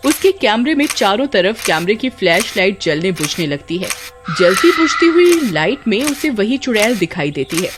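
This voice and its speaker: Female narrator for scripted horror tales, mid-pitched, very animated delivery. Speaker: story narrator. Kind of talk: scripted dramatic narration of a Hindi horror story.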